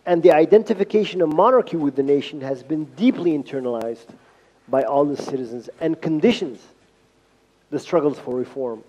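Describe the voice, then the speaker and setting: A middle-aged man giving a formal university lecture from a podium, speaking in measured phrases with pauses. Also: a little high, warm tone. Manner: measured, pausing for interpretation. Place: large lecture hall. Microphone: podium mic. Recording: lo-fi hall recording with long reverb.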